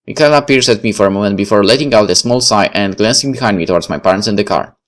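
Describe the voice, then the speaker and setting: A man's voice: fairly low pitch, fast. A man narrating a visual novel as he plays, reading the story aloud.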